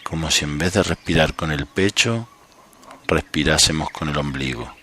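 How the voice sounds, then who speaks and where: A man leading a guided meditation as a coach, speaking calmly and without hurry, with long pauses between short instructions. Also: rumbling low, a little hiss or hum. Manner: calm, unhurried, leaves long pauses.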